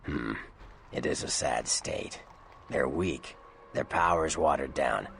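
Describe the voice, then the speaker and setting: Game vampire informant, worldly and sardonic, extremely low crackling voice, dry slangy explainer. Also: male voice, takes it slowly, a bit bright, exaggerated voice. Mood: matter-of-fact. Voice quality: gravelly. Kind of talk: video game character dialogue.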